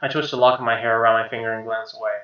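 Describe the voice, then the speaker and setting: A man's voice: mid-range voice, little pitch movement, very fast, echoey room, dull audio. A man reading visual novel narration aloud as a let's player, with offhand asides. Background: soft game music with guitar.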